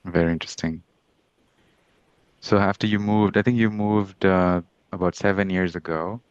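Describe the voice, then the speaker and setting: Deep-voiced man hosting a podcast. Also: steady tone, normal speed, clear, resonant tone, no room sound, muffled recording. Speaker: podcast host. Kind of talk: casual interview.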